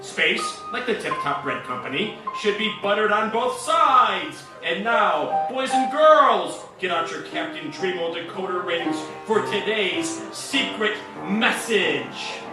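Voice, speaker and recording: A high-voiced, pompous space captain. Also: male voice, animated, takes it slowly, few pauses. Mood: excited pitchman. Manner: pompous, declamatory, hammy. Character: bombastic, lecherous male space captain of a radio serial. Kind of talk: parody of old-time radio adventure acting. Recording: echoey, distant, music behind.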